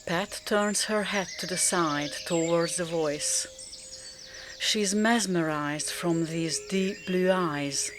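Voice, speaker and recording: A woman's voice: lowish voice, takes it slowly, background noise. A woman narrating a scripted radio drama as its storyteller.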